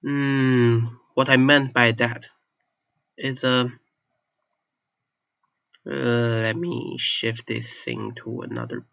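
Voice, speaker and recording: A man's voice: mid-range voice, level tone, very slow, gravelly, long pauses, fairly bright tone, clear, resonant tone. A young man, a native Chinese speaker, giving a casual explanatory monologue in a hesitant, self-correcting way. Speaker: channel host. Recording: slightly dull lo-fi recording.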